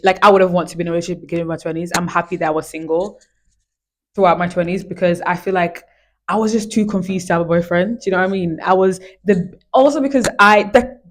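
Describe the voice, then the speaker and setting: A woman in her twenties from Israel, a podcast guest chatting casually with a friend, animated and quick to laugh. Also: on the low side. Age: 20s. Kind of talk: casual chat.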